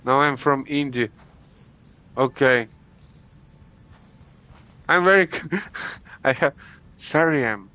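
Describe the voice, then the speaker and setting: A man from Latvia with a Latvian accent, streaming a video game and chatting casually with viewers, halting with many restarts and fillers. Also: medium pitch, pushed and bright. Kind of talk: casual gameplay chat with viewers. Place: home gaming setup.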